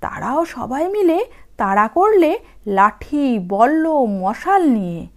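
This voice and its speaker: Female storyteller for children's audiobook: high voice, very expressive melody, dramatic phrasing. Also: very noisy. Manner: animated, unhurried, dramatic phrasing.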